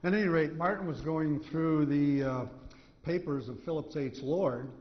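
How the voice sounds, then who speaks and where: Male American lecturer, slightly high voice, hesitant, dryly humorous, dull-sounding hall recording. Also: moderate pace. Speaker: author giving convention talk. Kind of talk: informative lecture to an audience. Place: convention hall. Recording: slightly muffled hall recording, long reverb.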